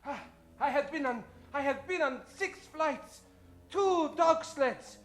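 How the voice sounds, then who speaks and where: A man playing a small, exhausted, meek messenger in an old-time radio comedy, halting and plaintive. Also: very high voice, expressive, faint music behind, heavily acted. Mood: weary, strained.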